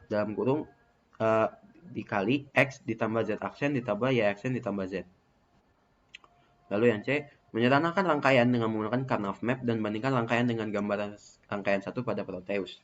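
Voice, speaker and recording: A young man, a student, reading out truth-table results step by step in a methodical way with hesitant fillers. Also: on the low side. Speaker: student presenting a case study. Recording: muffled lo-fi recording.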